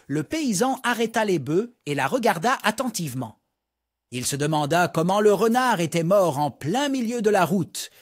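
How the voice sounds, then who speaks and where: Male children's storyteller, lively sing-song delivery, bright high register. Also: dry recording, clean studio sound. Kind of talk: bedtime story narration for toddlers.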